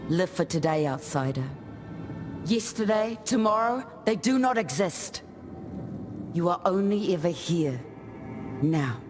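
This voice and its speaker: A man voicing an easygoing island warrior in a video game, speaking in a measured, reflective and lyrical way. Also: sits high, very animated, music in the background. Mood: carefree, wistful. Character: easygoing, graceful island warrior spirit who dances through battle. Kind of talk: scripted game character monologue.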